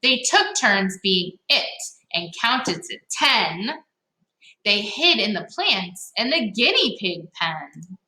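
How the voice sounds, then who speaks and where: A woman reading a storybook aloud to children, with expressive, dramatic emphasis and playful asides. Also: mid-range voice, slow pace. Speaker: read-aloud host for children. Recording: echoey, roomy sound.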